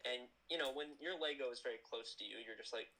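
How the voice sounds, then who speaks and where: Teen guy rambling excitedly on a live chat, bright voice, goes off on tangents. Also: medium pitch, fast talker, a little hiss or hum, rough recording. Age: late teens. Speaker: guest; animator. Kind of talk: casual chat.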